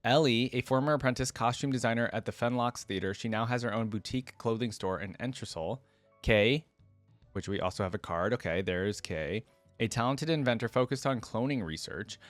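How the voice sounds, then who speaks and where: A man's voice: medium pitch, brisk, no room sound, faint music behind. A man hosting a reaction video, reading game lore aloud and dropping in casual, informal opinions of his own.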